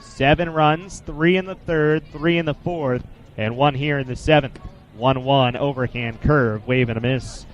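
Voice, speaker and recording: A man in his twenties doing live baseball play-by-play for radio, in a measured, descriptive way with statistics between pitches. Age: 20s. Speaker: play-by-play sportscaster.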